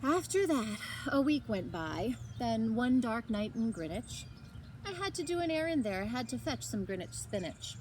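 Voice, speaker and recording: A woman reads a storybook aloud in a high voice that swings widely in pitch. She leans into dramatic emphasis and stretches out key words for young listeners. The recording carries faint background noise. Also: very animated, normal speed, darkish tone. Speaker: librarian reading aloud to children. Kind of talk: expressive storybook read-aloud.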